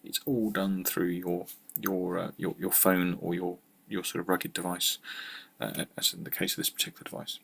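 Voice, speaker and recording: A British man giving an informal product walkthrough as a tech channel presenter, halting and matter-of-fact in his delivery. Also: deep voice, flat delivery, vocal fry, a bit bright, husky.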